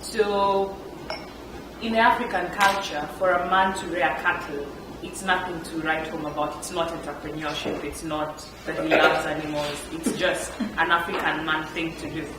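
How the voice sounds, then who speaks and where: A woman's voice: deep voice, moderate pace. A woman from Kenya, an entrepreneur on a panel telling stories from her life with frequent laughter. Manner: animated, laughs often while telling stories. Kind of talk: storytelling at a panel talk. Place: event room. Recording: echoey room, picked up from far, background noise.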